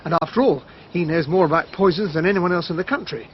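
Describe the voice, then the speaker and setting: An actor playing an earnest, slightly dense English army captain of 1916 in a radio drama, with proper, old-fashioned gentlemanly speech and a husky voice. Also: male voice, on the high side, fast talker, lo-fi sound, a little hiss or hum, close to an everyday voice. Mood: earnest, puzzled. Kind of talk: radio drama dialogue and first-person narration.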